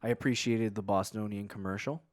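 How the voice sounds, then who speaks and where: Podcast co-host, man from New England, low voice, dry close mic, loose chatty delivery. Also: steady tone, brisk, dry recording. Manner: loose, laughing, trails off and restarts. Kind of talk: casual sports chat.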